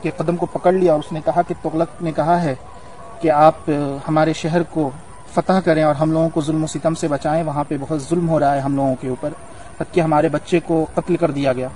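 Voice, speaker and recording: A man with a slightly high voice tells religious history like a guide on location. His manner is earnest and reverent, with formal phrasing. He is recorded outdoors, with some ambient noise around him. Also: fairly even intonation, moderate pace, dark tone, low-quality recording. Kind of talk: devotional storytelling on location. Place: outdoors on a hilltop at a shrine.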